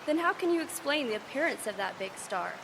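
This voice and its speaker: A persistent female field reporter who narrates the scene in a brisk, broadcast-style delivery. Her voice sits a little high, and the live stage recording carries steady background noise. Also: vocal fry, heavily acted.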